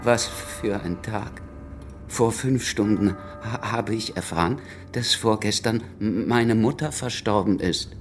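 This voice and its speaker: A man's voice: lowish voice, soft background music, close to an everyday voice. An actor playing a shaken, grieving man who stammers and pleads, in a radio drama. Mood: stunned pleading. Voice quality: halting, stammering. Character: shaken, grieving male prisoner-to-be, halting, pleading.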